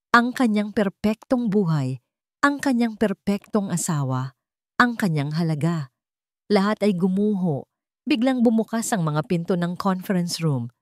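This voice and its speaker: Female storyteller for dramatic fiction, slightly low voice, close dry recording. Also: animated, normal speed, no room sound. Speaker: storyteller, narrator. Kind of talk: dramatic scripted story narration.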